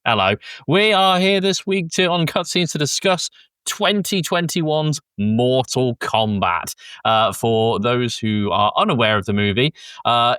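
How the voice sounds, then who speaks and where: A man's voice: medium pitch, fairly bright tone, dry recording. A man hosting a podcast with casual film discussion while a bit sick. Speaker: podcast host.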